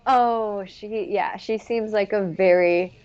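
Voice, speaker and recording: A young adult woman speaks in an earnest, conversational way, often reaching for "like" as she thinks aloud. Her voice sits at an ordinary pitch. The recording is dull and lo-fi, as if little of the high end was captured. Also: fairly even intonation, takes it slowly, darkish tone. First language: English. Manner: earnest, frequent "like" fillers. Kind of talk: casual conversation.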